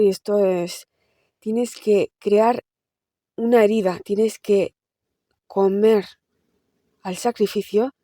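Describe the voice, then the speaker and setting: A woman's voice: mid-range voice, warm tone, no echo, clean studio sound. A woman explaining a film plot to her viewers in a casual, conversational way. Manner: explanatory, talks directly to viewers with frequent restarts. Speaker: film reviewer. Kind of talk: casual film analysis to camera.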